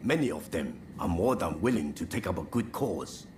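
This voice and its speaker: A man voicing a good-natured middle-aged fire chief in a video game, gruff, informal and hearty. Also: low voice, sing-song, normal speed, background noise. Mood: agreeable.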